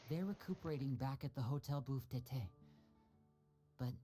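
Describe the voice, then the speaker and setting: A man's voice: medium pitch, normal speed, mellow, lightly acted. An actor voicing a young male magician speaking respectfully and earnestly to his adoptive parent. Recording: game audio with music behind.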